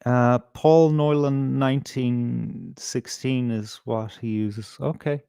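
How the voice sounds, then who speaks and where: A man's voice: medium pitch, steady tone, slow, vocal fry, mellow, clear, resonant tone, no room sound, studio quality. A man hosting a live stream, talking casually in a rambling, sweary, unscripted way. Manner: rambling, sweary, easily distracted. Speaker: host of a live stream show.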